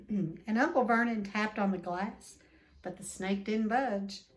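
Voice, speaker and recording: A woman reading a children's book aloud expressively, with deliberate dramatic emphasis. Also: mid-range voice. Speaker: read-aloud storyteller.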